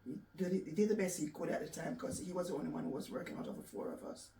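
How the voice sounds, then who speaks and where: A woman from Jamaica with a Jamaican accent, recounting her life story in a soft, reflective way with halting restarts. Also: deep voice, creaky. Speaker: interview guest. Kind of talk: personal storytelling in an interview. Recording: echoey room, distant mic, faint background noise.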